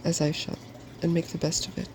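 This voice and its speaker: A man voicing a solemn, hopeful, meek dragon in a soft-spoken ASMR storybook reading, earnest and polite. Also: on the high side, level tone, a little fast, gravelly, noisy background.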